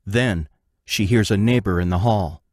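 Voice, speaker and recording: A man's voice: lowish voice, moderate pace, no room sound, studio quality. A man reading scripted scene narration for an audio drama. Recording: loud background noise, clicks.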